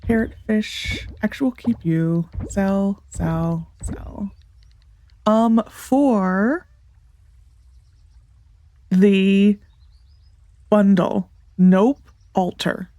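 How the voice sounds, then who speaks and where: A woman's voice: medium pitch, extremely slow, pauses often, dark tone, no echo. A woman with a congested, nasal voice, talking casually and thinking aloud as she plays a farming game, with many ums and restarts. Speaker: let's play gamer. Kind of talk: casual gameplay commentary.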